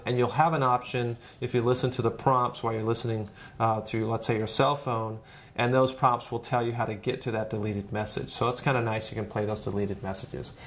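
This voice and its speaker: A man narrating an informal step-by-step software tutorial in a conversational, demonstrative way, as a trainer would. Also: mid-range voice.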